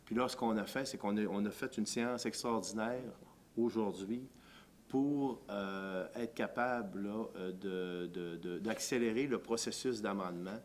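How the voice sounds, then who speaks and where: A Québécois man official with a low voice who explains a plan haltingly, dropping in fillers as he goes. A faint rumble runs underneath. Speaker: city planner being interviewed. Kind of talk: interview clip.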